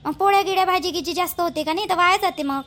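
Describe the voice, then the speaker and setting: A woman's voice: very high-pitched, fast, a bit bright. A woman with a Vidarbha Marathi accent playing a dutiful young newlywed daughter-in-law in a village cartoon, chatty, rustic and deferential. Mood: mildly aggrieved.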